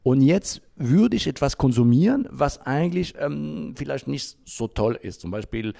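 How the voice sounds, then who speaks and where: A man's voice: mid-range voice, animated, vocal fry, mellow. A man giving unscripted interview answers in speech, often hesitating as he searches for words. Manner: halting, searching for words with frequent long hesitations. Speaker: interviewee, founder of an environmental association.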